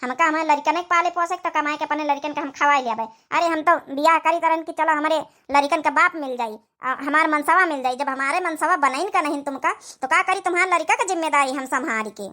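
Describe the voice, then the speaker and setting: A woman's voice: sits high, fairly quick, hardly any pauses, bright, forceful tone. A woman playing a blunt, dutiful young village woman in a cartoon drama, speaking forthrightly with an Awadhi accent. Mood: indignant. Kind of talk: cartoon comedy-drama dialogue in village dialect.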